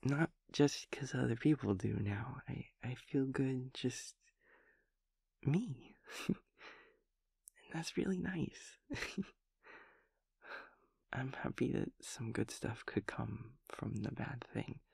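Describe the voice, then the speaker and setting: Sweet, guileless young male daemon, played by a man for audio roleplay: soft, creaky, close and dry, stumbling gently over his words. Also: mid-range voice, dry recording, exaggerated voice. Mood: gentle, reassuring. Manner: halting, repetitive, giggly, gentle rambling. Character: sweet, naive, cheerful young male empathy daemon friend. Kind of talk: intimate audio roleplay acting, one-sided conversation.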